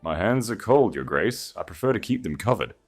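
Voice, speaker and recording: Proud princess of twenty-one, played by a male narrator: lifted pitch, formal, coolly defiant, audiobook dialogue. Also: sing-song, fairly quick, husky, soft background music, exaggerated voice. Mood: cool defiance. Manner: formal, composed, precise.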